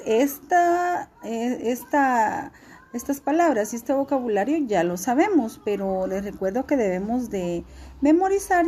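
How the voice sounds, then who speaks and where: A woman teacher, giving a recorded class lesson in a clear, instructive way and repeating key words slowly. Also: fairly high pitch, mellow, slight background noise.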